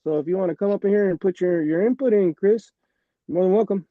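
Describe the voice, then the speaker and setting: A man's voice: high-pitched, quick pace, no echo, narrow, tinny audio. A man co-hosting a casual online chat, teasing and blunt, swearing freely. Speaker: co-host.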